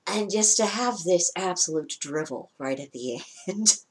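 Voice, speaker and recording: A woman with a lower-pitched voice giving casual spoken commentary as a vlogger, wry and chatty, often laughing mid-sentence. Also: animated, unhurried. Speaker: vlogger reacting to a broadcast.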